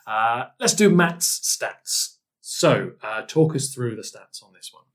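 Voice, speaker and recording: A man's voice: mid-range voice, expressive, slow pace, fairly bright tone. Man hosting a casual, jokey chat. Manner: teasing, jokey banter. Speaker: podcast host. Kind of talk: casual chat, interviewing a guest.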